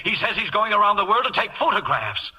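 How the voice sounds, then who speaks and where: Outraged, very high-pitched blustering father. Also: very animated, fast, sharp tone, highs cut off, exaggerated voice. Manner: indignant, sputtering. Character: outraged, blustering middle-aged father and householder. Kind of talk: 1950s radio comedy acting.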